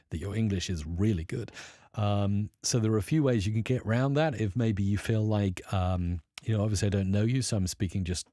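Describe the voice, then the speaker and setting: A male live streamer talks in a low, warm voice, chatting loosely with his viewers. He rambles with lots of fillers but stays upbeat and encouraging. The sound is dry and close. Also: no echo. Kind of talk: casual live stream talk answering chat.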